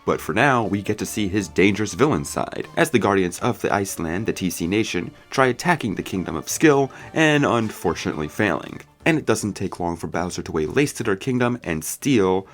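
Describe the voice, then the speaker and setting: A man's voice: low-pitched, expressive, a little fast, hardly any pauses, faint music behind. A man giving a scripted movie review commentary in a casual, opinionated, conversational way. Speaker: video reviewer.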